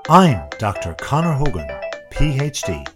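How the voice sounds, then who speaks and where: A man's voice: medium pitch, very animated, unhurried. Casual male podcast host, music underneath. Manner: casual, probing questions with many "like"s. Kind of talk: street interview. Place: outdoors on a street.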